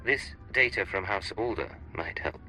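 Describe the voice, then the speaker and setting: Sharp-toned, cocky mercenary. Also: male voice, fairly low pitch, lively intonation, moderate pace, faint music behind, low-quality recording. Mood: cocky, cool. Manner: suave, wry, self-assured. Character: cool, cocky mercenary captain, imperial agent. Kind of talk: scripted video game acting.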